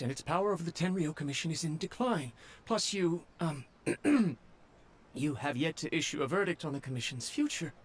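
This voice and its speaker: A man's voice: on the high side, slight background noise, natural voice. An actor playing a nervous young male servant who stammers and speaks humbly. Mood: flustered.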